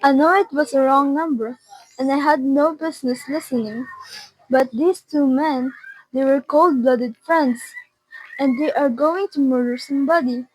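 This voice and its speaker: A woman playing a nervous, pleading housewife in a radio play, halting and agitated. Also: high voice, rough recording. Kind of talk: radio drama acting.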